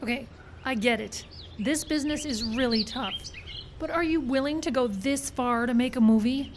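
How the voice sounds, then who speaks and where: A woman plays the exasperated voice of conscience in a live radio play. Her voice sits fairly high, earnest and pleading, with noise from an outdoor stage behind it. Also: moderate pace, lightly acted. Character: disapproving, worried young woman, voice of conscience. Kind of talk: live radio drama acting.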